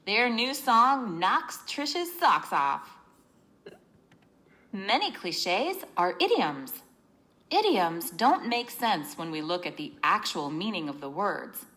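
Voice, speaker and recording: A woman reading a children's book aloud in an expressive, teacherly way, explaining as she narrates. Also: medium pitch.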